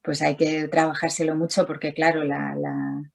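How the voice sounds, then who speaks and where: Low-voiced woman, rambling answers. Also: level tone, normal speed, gravelly. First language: Spanish. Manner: rambling, many restarts and fillers. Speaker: artist, interview guest. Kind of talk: casual video-call interview.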